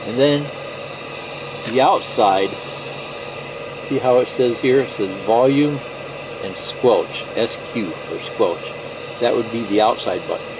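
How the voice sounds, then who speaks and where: A man talks through a piece of equipment in a casual, unscripted way, at an ordinary middle pitch. His manner is folksy and reassuring, with frequent little check-ins. The recording is clearly noisy, with static hiss behind him. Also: slow, long pauses. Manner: folksy, reassuring, often checks in with "okay" and "you know". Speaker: presenter of a CB radio how-to video. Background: CB radio static hiss.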